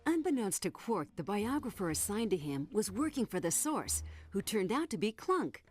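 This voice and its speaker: A woman voicing a composed, flirtatious intelligence agent in a video game, crisp and businesslike with coy teasing. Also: mid-range voice, sing-song, moderate pace, faint music behind, lightly acted. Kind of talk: scripted video game cutscene acting, report delivery.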